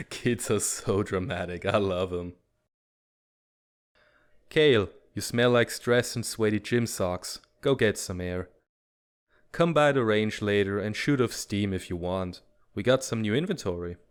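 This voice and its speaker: A fairly low, smooth male voice for an easygoing medic at an academy, played by a man. He is breezy and teasing, full of slangy jokes, in comic drama style. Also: lively intonation, a little slow, pauses often. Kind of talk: animated comic fan dub.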